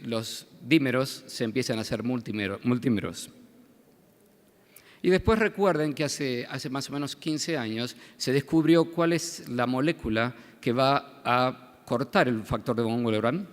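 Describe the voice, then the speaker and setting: A middle-aged man with an Argentine accent giving a medical conference lecture, halting with many fillers and restarts. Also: medium pitch. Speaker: visiting hematologist speaker. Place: conference hall. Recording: long hall reverb.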